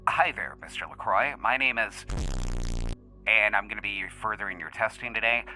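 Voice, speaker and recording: A man's voice: lowish voice, expressive, moderate pace, over music, close to an everyday voice, poor audio. A man playing a polite, formal researcher who speaks in a courteous, procedural manner in an audio drama. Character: polite, formal male researcher conducting a test.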